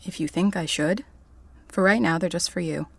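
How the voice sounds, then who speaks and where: A calm female therapist in an audio drama. Her voice is fairly low and recorded very dry. She speaks in measured, reassuring phrases. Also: vocal fry, dry recording.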